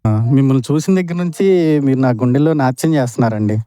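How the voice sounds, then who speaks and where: A man's voice: mid-range voice, rapid-fire, mellow, clear, resonant tone, no echo. A man answering a phone call and chatting casually. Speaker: boyfriend receiving a prank call.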